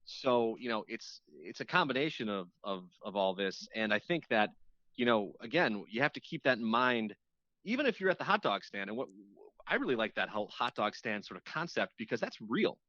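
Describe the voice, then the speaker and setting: A man's voice: medium pitch, sing-song, brisk, sharp tone, dry recording. Man on a dull, dry lo-fi line. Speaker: radio show host appearing as guest. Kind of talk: casual interview chat.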